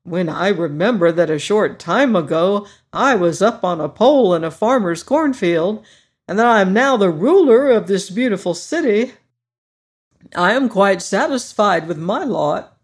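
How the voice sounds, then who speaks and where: A reader voicing a contented, cheerful scarecrow who rules a city, speaking in a bright, declaiming manner. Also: mid-range voice, lively intonation, a little slow, husky. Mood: pleased.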